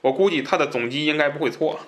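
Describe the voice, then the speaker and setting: A man's voice: a little high, quick pace, fairly bright tone. A man hosting a book podcast, chatting in a colloquial way and given to chuckling at his own asides. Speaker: podcast host. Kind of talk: casual book talk show chat.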